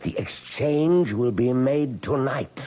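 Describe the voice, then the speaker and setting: An actor playing an elderly, weakened millionaire in a radio drama, speaking formally and with measured, cold insistence. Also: male voice, medium pitch, close to an everyday voice. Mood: coldly insistent. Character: weak, elderly millionaire, polite but coldly demanding. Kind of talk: 1970s radio drama acting. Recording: dull 1970s radio broadcast recording.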